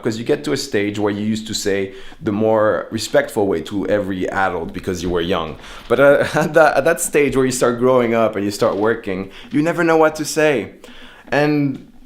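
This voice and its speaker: A young man, a native French speaker whose English has an American-influenced French accent, chatting casually in an informal, musing and self-deprecating way. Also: medium pitch. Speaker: speaker giving an informal opinion talk. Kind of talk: casual chat.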